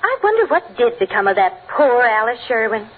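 An American actress playing a gentle, chatty middle-aged wife and mother in 1940s radio comedy style. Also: fairly high pitch, very animated, fairly quick. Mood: gossipy, hushed concern. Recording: hissy, narrow-band old radio recording.

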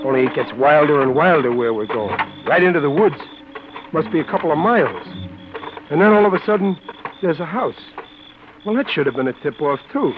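A man's voice: on the high side, lively intonation, fairly quick, natural voice. A man playing a slangy bumbling tough guy on tinny old radio. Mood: wry narrating. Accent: American. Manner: hesitant, stammering, streetwise slang. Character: slangy, bumbling, suspicious tough guy who narrates his misadventure. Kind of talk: 1940s-50s radio comedy-adventure acting. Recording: tinny narrow-band old radio, music behind.

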